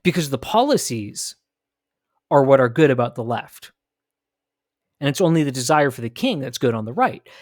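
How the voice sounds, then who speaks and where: A man delivers opinionated commentary as a podcast host. His voice sits at a middle pitch, and he hammers points home by repeating phrases and answering his own rhetorical questions. The sound is dry and close, with no room echo. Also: very animated, normal speed, long pauses.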